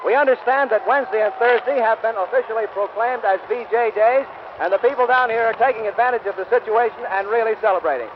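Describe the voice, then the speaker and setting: Male American live reporter, 1940s commentary, high voice, descriptive flow, dull archival audio with faint noise. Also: fast. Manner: descriptive, fluent, formal address to listeners. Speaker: radio reporter. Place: outdoors on a hotel balcony. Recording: muffled, faintly noisy 1940s outdoor broadcast.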